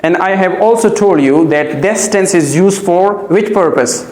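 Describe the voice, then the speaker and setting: A man's voice: high-pitched, unhurried, darkish tone. A man giving a classroom grammar lesson as a teacher, repeating key phrases and checking that pupils follow. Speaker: English teacher. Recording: echoey classroom.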